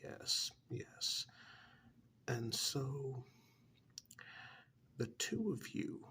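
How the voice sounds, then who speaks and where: A man playing a calm, frank therapist in a soft-spoken ASMR role-play, talking in a measured, halting, half-whispered way close to the microphone. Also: medium pitch, slightly rough, heavily acted. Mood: attentive, measured. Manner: measured, halting, matter-of-fact, professional. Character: calm, frank male therapist counselling a troubled married man.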